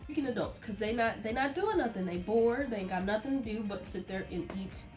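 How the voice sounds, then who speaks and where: A woman's voice: a little high, a little fast. Chatty young American woman with background music. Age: young adult. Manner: chatty, informal, animated retelling with acted-out quotes. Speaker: vlogger. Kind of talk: casual storytime monologue.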